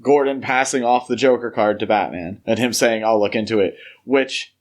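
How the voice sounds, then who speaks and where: A man's voice: lowish voice, moderate pace. A man co-hosting a podcast, discussing film casually in an analytical, thinking-aloud way. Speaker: podcast co-host.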